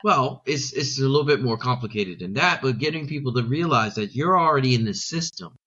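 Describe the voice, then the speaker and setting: A man's voice: mid-range voice, animated, a little fast, bright, forceful tone, dull audio. A middle-aged man, a sales coach, talking emphatically in an interview and using rhetorical questions and repetition. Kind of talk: podcast interview.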